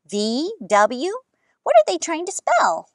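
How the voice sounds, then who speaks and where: A woman voicing a curious, excitable little girl from a storybook, eager and exclaiming. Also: high voice, takes it slowly, no room sound, clean studio sound, voice pushed up, heavily acted. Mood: puzzled. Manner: eager, wide-eyed, exclaiming.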